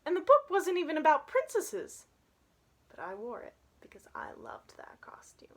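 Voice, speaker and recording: A woman's voice: high-pitched, huge pitch swings, moderate pace. A young woman reading a children's picture book aloud, with chatty asides to her listeners. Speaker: storybook reader.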